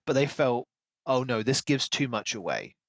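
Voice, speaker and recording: A man's voice: mid-range voice, sing-song, normal speed, no room sound. Analytical man chatting on a podcast, dry sound. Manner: analytical, thinking aloud. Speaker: podcast co-host. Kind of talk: casual book discussion.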